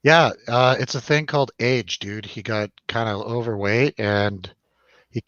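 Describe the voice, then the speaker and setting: A man's voice: mid-range voice, fairly even intonation, measured pace, fairly bright tone, no echo, lo-fi sound. A man hosting a casual film review chat, rambling and jokey, putting questions to his co-host. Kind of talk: casual chatty film discussion over drinks.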